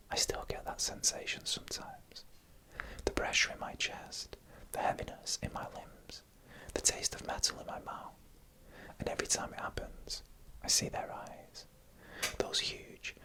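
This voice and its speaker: A narrator whispering scary stories in ASMR style, slowly and deliberately, with pauses between short phrases. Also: rough recording.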